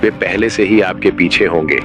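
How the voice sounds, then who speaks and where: Deep-voiced, ominous emergency broadcast announcer played by a man. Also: over music, lightly acted. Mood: grave, ominous. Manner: grave, measured, menacing. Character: ominous male emergency broadcast announcer warning of uncanny teachers. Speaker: narrator. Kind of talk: scripted horror narration as an emergency broadcast.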